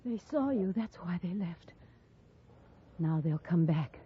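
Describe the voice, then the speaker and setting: A woman acting a frightened, shaken frontier survivor in a 1950s radio western, speaking in tense, halting, plain phrases. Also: lowish voice, animated, breathy edge, full character voice. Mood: shaken, bleak. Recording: muffled, faintly noisy old radio recording.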